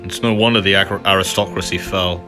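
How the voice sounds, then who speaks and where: A man's voice: sits low, steady tone, brisk. Casual man commenting over game music. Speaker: gameplay video host.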